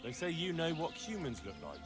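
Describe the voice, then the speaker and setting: A man's voice: on the high side, wildly swooping pitch, brisk, music in the background. A man playing a blunt, sarcastic young medieval peasant in game dialogue, sceptical and impatient with what he hears.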